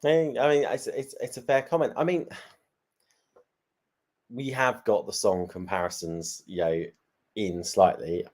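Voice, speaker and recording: Man, lowish voice, casual panel host, repeats words. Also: steady tone, measured pace, long pauses, darkish tone.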